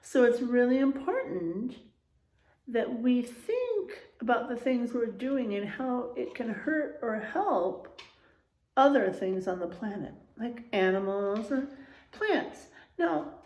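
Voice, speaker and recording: A woman teacher reading to young children in an expressive way, pausing for explanatory asides and checking in with her listeners. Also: a little high. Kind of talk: animated children's read-aloud with explanatory asides. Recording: echoey room sound.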